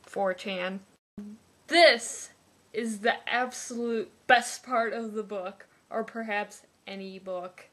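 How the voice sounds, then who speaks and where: A woman's voice: mid-range voice, fairly even intonation, takes it slowly, a bit bright. A young woman in her twenties giving a sarcastic, pedantic comic review of a book.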